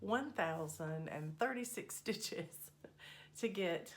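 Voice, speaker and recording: Woman vlog host, low voice slightly scratchy from a cold, easygoing and friendly. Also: animated. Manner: unhurried, friendly, lots of ums. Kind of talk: casual chatty progress update.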